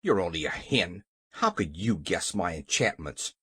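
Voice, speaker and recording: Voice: medium pitch, sing-song, measured pace, slightly rough, no echo. A narrator voicing a pompous, tyrannical little gnome king in a storybook, roaring and exclaiming. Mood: scornful. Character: blustering, excitable little male gnome king, tyrannical villain.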